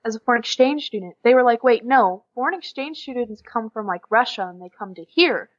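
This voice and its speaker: A woman's voice: a little high, fairly quick. Chatty young American woman, slightly muffled audio. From USA. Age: young adult. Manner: chatty, animated storytelling with quoted dialogue and frequent giggles. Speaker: podcast guest, exchange student. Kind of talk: casual interview chat.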